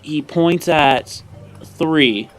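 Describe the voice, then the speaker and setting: A man's voice: fairly high pitch, very slow, faint music behind. A man improvising descriptive narration as the game master of a tabletop roleplaying game.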